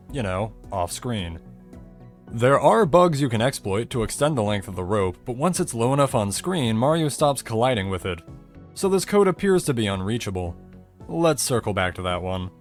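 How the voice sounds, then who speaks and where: Man narrating with lively, swinging intonation over music. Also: mid-range voice. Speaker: video creator narrating a technical game explainer. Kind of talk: scripted explanatory narration. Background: music bed under the voice-over.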